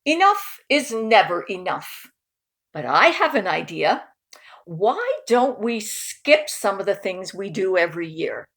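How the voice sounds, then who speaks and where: A woman voicing an agreeable uncle full of ideas in a children's picture book, with emphatic, drawn-out delivery. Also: medium pitch, sing-song, fairly bright tone, heavily acted. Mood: agreeable, eager.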